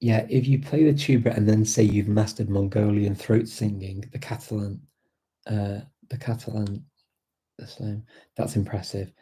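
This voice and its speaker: A man's voice: fairly low pitch, fairly even intonation, moderate pace, dark tone, husky. A middle-aged British man hosting a casual live chat, rambling enthusiastically with lots of fillers and restarts. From Britain. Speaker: language-learning host answering viewer questions.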